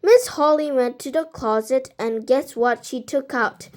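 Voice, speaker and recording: A woman reading a children's storybook aloud in a halting way, stumbling over some words. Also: high voice, a little mellow. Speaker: amateur book reader.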